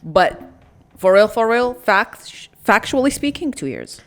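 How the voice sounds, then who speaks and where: A woman in her late twenties co-hosting a podcast, relaxed and reflective, saying "like" often. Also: a little high, unhurried. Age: late 20s. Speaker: podcast co-host. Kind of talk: casual chat.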